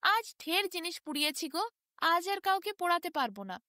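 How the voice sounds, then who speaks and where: Storybook fire spirit, played by a woman, tired and unwilling, yet bright sing-song. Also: extremely high pitch, big pitch swings, brisk, dry recording, clean studio sound, full character voice. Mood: weary refusal. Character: weary talking fire, done for the day.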